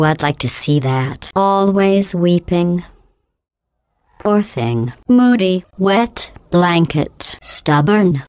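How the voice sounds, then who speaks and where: A cold, sarcastic female AI from a video game, sneering at her test subjects in a clipped deadpan. Her voice sits low and sounds smooth and polished. It is recorded close and dry, with no room sound. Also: no echo. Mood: snide reading. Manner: mocking, dry insults in flat delivery.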